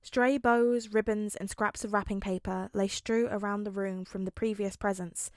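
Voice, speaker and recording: A woman's voice: medium pitch, moderate pace, no room sound. Woman reading fanfiction aloud, dry recording. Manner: expressive narration with voiced dialogue. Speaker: podfic reader.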